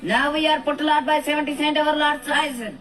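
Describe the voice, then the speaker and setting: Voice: sits high, fairly even intonation, brisk, a bit bright. A voice from a played meme clip, chanting short repeated commands. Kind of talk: clipped meme clip call-outs. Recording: distant clip with music behind.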